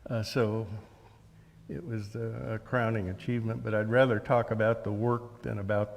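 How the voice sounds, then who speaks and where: An American man in his seventies talking as an interview guest, recounting his work and memories in a measured, halting way. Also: fairly low pitch, level tone, gravelly. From Oregon, United States. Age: 70s. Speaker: interview guest, author and journalist. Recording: long hall reverb.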